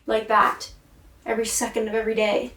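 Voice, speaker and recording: A woman's voice: a little high, completely flat delivery, a little fast, a bit bright, slight background noise. A woman recording a rambling, introspective video diary, swearing and sighing often, with a voice she says is tired and her throat sore. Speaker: vlogger and singer. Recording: echoey room, far from mic.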